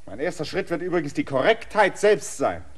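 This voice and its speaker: An actor playing a stubborn land surveyor in a radio play, insistent and emphatic. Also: male voice, on the high side, very animated, a little fast.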